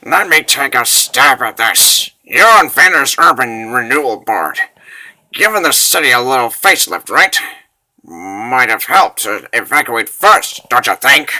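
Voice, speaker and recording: Guy doing a sarcastic old wizard, gruff bravado, forceful and swooping, comic dub. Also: medium pitch, big pitch swings, unhurried, heavily acted, low-quality recording. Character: cocky, grumbling old male wizard adventurer.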